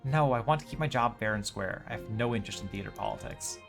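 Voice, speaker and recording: A man's voice: on the low side, fast, gravelly, music in the background. A man voicing a proud, worried female musical theater performer with formal phrasing, from game dialogue. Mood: principled, indignant. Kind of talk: reading game dialogue aloud.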